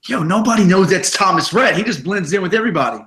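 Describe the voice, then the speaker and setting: A young man with a raspy voice, hosting a casual sports podcast and telling stories in an energetic, chatty way full of fillers. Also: sits high, quick pace. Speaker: podcast host.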